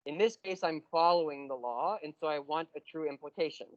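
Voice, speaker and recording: A man teaching a university math class, explaining step by step and slowing down on key terms. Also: fairly high pitch, dry recording, lo-fi sound. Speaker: math lecturer. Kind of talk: classroom lecture.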